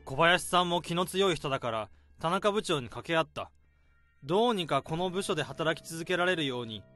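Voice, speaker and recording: An actor playing an overworked male office worker laying out a case, in a radio drama, speaking calmly and methodically. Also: on the high side, normal speed, no room sound, faint music behind. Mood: explaining. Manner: calm, methodical explaining.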